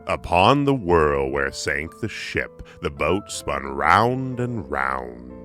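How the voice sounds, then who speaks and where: Male poetry narrator: extremely low, slow, dramatic, swelling and hushing, with music underneath. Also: sing-song. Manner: theatrical, with big swells and drops in volume. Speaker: poetry reader.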